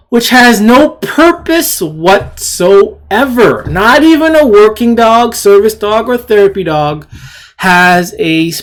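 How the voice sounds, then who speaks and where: Slow-paced, indignant opinionated commentator. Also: mid-range voice. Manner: indignant, blunt, disdainful; repetitive, emphatic, halting delivery. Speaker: video commentator.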